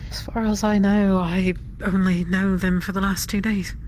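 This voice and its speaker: A woman's voice: mid-range voice, level tone, normal speed, a little mellow, slight background noise, close to an everyday voice. A woman playing a composed, polite villager with formal, courteous phrasing in an improvised roleplay. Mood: apologetic.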